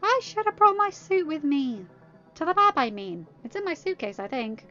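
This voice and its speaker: A woman's voice: high-pitched, big pitch swings, normal speed, pushed and bright, lo-fi sound, soft background music, pitched above the performer's own voice, exaggerated voice. A woman voicing an eager, goofy, cheeky teenage boy with a playful, chattering manner in a story.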